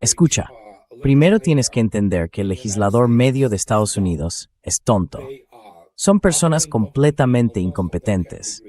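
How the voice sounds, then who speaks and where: A synthetic male voice with a fairly low pitch, dubbed over an interview. He speaks as a guest commentator, blunt and dismissive, with a sardonic edge to his political and military analysis. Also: animated, normal speed, warm tone. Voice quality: synthetic AI dubbing voice.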